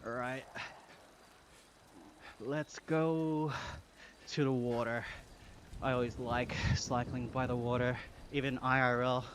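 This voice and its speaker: Casual male streamer, Australian accent, mid-range voice, chatty and slangy, talking to chat over clear background noise. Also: mid-range voice, flat delivery, slow, pauses often. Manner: chatty, slangy, reading chat aloud, thanking viewers. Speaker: live streamer.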